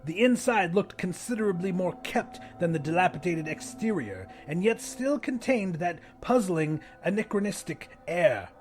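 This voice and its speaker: Higher-pitched male audiobook narrator over music. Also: sing-song, moderate pace, hardly any pauses. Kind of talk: scripted first-person fiction narration.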